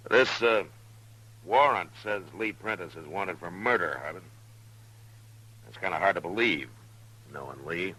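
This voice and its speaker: An actor playing a stern, steadfast frontier marshal, firm and plainspoken, in 1950s radio western style. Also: male voice, low voice, animated, a little slow, pauses often, bright, forceful tone, heavily acted, low-quality recording. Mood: firm suspicion.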